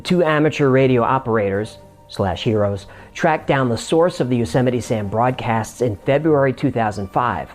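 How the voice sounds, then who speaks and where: A male host narrates a scripted explainer. His voice sits at an ordinary middle pitch, and faint music runs under his conversational delivery. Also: moderate pace.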